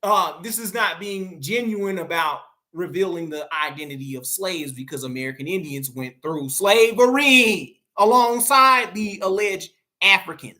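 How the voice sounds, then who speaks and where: A woman's voice: fairly low pitch, unhurried, bright, forceful tone. A woman in a casual talk giving blunt, profane, run-on explanations. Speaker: co-host. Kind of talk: casual heated commentary.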